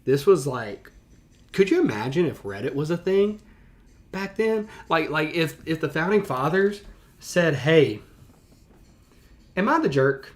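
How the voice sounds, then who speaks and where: A man with an American accent reacting out loud as a teacher, in casual slang, thinking as he goes and paraphrasing in animated fashion. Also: a little high, unhurried, pauses often. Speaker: teacher reacting to a historical reading.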